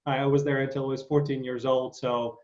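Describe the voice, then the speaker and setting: A man's voice: medium pitch, level tone, brisk, darkish tone, lo-fi sound. A man hosting a casual interview, enthusiastic and full of fillers and restarts. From Ukraine. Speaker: interview host.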